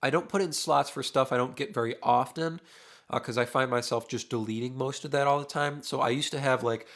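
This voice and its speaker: A man's voice: medium pitch, fairly quick. A young man, a mixing engineer, talking through a studio tutorial in a casual, thinking-aloud way, his voice a little worn. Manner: relaxed, thinking aloud with many uhs while working. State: losing his voice. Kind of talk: casual screen-recorded tutorial. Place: home studio with drums behind.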